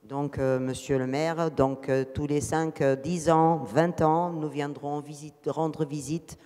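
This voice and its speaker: A man's voice: fairly high pitch, little pitch movement, slow pace, a little mellow. A man interpreting live at a ceremony, hesitant, with many fillers. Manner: hesitant, frequent filler "euh". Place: ceremony hall. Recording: long reverb in a big hall.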